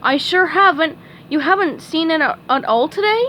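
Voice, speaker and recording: A woman's voice: high voice, expressive, normal speed, sharp tone, a little hiss or hum, pitched above the performer's own voice. Woman doing a folksy, kind squirrel gal. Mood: concerned. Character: friendly, folksy female squirrel.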